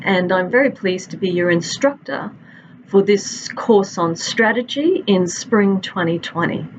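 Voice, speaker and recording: A woman's voice: mid-range voice, a little hiss or hum. Australian woman lecturer, dull webcam audio. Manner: friendly, conversational, stretches out listed items. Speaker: university instructor. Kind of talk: recorded course introduction. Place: office with a large window. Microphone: webcam at desk.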